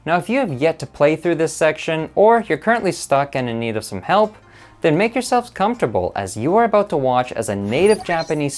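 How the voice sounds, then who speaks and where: A narrator reads a polished channel intro at an unhurried, measured pace. The pitch swings in a lively, animated way. Soft music plays faintly underneath. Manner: slow, measured, inviting delivery.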